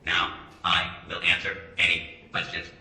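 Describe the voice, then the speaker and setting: An actor playing an earnest, polite early robot in a radio drama, speaking in a measured, formal, literal way. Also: male voice, low-pitched, little pitch movement, bright, forceful tone, heavily acted. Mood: polite, matter-of-fact. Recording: roomy echo.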